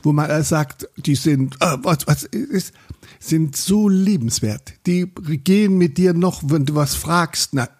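A man's voice: fairly high pitch, a little slow, a little mellow. An older man from Saxony, a photographer recalling his past in a conversational interview, rambling and often restarting his sentences. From Saxony, Germany. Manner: rambling, self-interrupting storyteller with many restarts.

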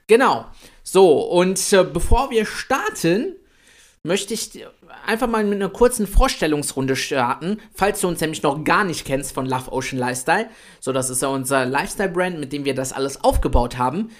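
A man in his late twenties co-hosting a podcast, talking in a chatty, energetic way about building an online business. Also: on the high side, normal speed. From Italy. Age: 20s. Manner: energetic, chatty, swears lightly. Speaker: podcast co-host. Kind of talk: casual podcast chat.

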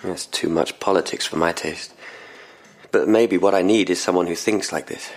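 An actor playing a distraught, sleepless police constable in an audio drama, speaking haltingly and earnestly. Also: male voice, deep voice. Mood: troubled.

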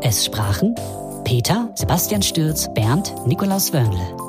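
A woman's voice: deep voice, sing-song, measured pace. A woman reading scripted closing credits as an announcer. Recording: music under voice.

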